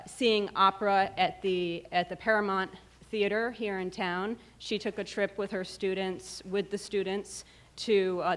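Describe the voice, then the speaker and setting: A woman faculty member giving panel discussion remarks in a measured way, pausing often on fillers. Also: lowish voice. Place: lecture room.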